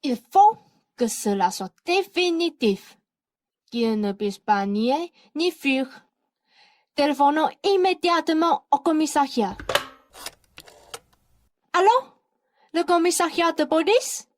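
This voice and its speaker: A young woman playing an excitable, scheming young man in a French radio play, melodramatic and emphatic. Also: sits high, slow pace, long pauses, no echo, clean studio sound. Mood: urgent. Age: young adult. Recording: music behind the voice.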